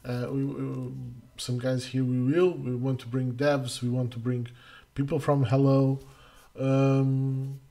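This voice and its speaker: A middle-aged man from Portugal, hosting a casual livestream Q&A as community manager, halting with many fillers and restarts. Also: medium pitch, level tone, warm tone. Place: home room, indoors. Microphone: headset.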